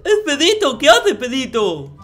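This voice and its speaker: A performer playing a naive, excitable boy in a Minecraft roleplay skit, stammering and repeating words with sudden exclamations. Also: sits high, measured pace, exaggerated voice. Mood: excited, alarmed. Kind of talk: improvised gaming roleplay skit. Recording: music behind the voice.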